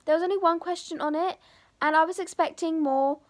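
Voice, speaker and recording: A teenage girl chatting casually to camera for her vlog, voice croaky from a sore throat, full of "like" and "um". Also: extremely high pitch, fairly even intonation, fairly quick. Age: teens. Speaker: vlogger.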